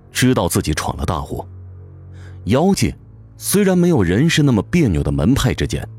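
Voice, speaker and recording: A man's voice: lowish voice, darkish tone. A man giving dramatic scripted narration of a fantasy audio novel. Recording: music behind the voice. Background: music.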